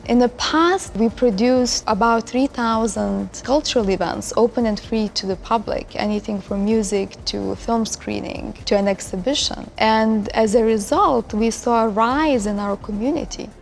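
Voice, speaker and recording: A woman's voice: fairly high pitch, normal speed, creaky, few pauses, a little hiss or hum. A woman from Lithuania speaking as an art hub director in a documentary interview.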